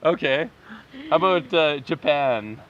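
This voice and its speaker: A man hosting a lively group chat, playful, laughing often and imitating animal sounds. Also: fairly high pitch, unhurried, muffled recording. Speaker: host.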